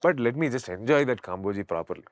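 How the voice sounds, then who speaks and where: A man's voice: sing-song, racing, dry recording, clean studio sound. A man, a veena player and singer talking easygoingly in an interview. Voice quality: deep voice. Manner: reflective, easygoing, laughs often, mixes Kannada into English. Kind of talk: casual interview chat.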